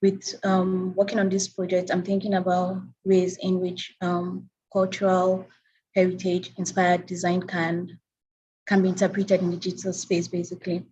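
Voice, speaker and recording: Young Nigerian woman, Hausa native, measured explanatory talk, lo-fi call sound. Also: on the low side, monotone, a little mellow, a little hiss or hum. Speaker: presenting artist. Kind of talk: online symposium presentation.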